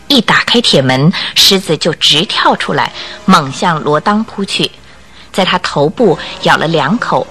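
A woman narrating a radio detective drama in a dramatic, expressive storytelling style from a script. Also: on the low side. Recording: music under the voice.

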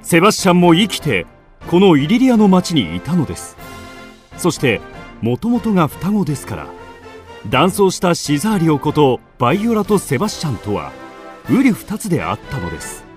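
A man narrating a comic radio drama as an animated, dramatic storyteller. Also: a little high, moderate pace, faint music behind.

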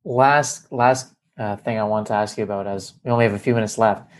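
A man hosting a podcast, asking questions in a relaxed, hesitant way with fillers. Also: fairly low pitch, a little fast. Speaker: podcast host. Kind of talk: casual interview chat.

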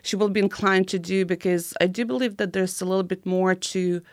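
A woman's voice: medium pitch, steady tone, fairly quick, dry recording, clean studio sound. A woman in her thirties from Ukraine with a Ukrainian accent, chatting as an interview guest and filling her talk with "you know" and "like". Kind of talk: casual interview conversation.

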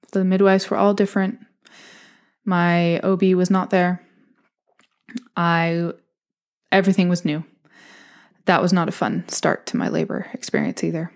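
Chatty young woman telling a candid story. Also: mid-range voice. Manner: candid, chatty, laughs often, mimics voices in quotes. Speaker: podcast host telling her birth story. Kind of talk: casual personal storytelling.

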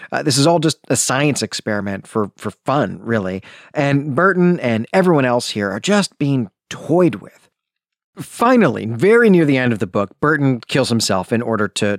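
Animated man podcaster with very lively intonation. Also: mid-range voice, no echo, studio quality. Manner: animated storytelling with casual asides. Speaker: podcast host. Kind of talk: conversational book summary and commentary.

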